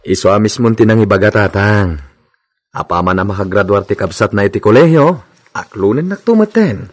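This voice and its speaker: A man's voice: lowish voice, lively intonation, normal speed, breathy edge. A man playing an earnest, patient, lovestruck bachelor in his thirties in an Ilocano radio drama, with an Ilocano accent. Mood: shy, happy.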